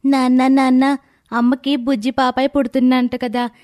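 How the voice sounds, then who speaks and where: Little daughter, played by a woman: high voice, chirpy, childlike, eager and fond, for a storybook tale. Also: moderate pace, natural voice. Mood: excited. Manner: excited, childlike, affectionate.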